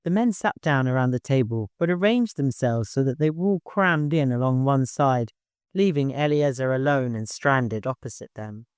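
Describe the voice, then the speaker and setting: A man's voice: mid-range voice, animated, moderate pace, clear, resonant tone, no room sound, clean studio sound. A man reading scripted literary narration as an audiobook narrator.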